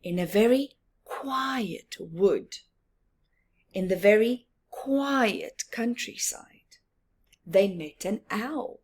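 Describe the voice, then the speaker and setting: A woman reading a picture book aloud to children with expressive, drawn-out emphasis and asides about the story. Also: mid-range voice, husky. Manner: animated, drawn-out emphasis with whispered words.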